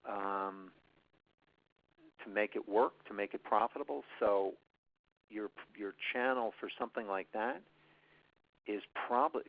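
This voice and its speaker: A man with a low, gravelly voice answers questions in an unscripted webinar. He rambles, restarting sentences and filling gaps with ums. The audio is thin and phone-like. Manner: rambling, many restarts and fillers. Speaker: guest presenter, marketing expert. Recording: tinny phone-line audio.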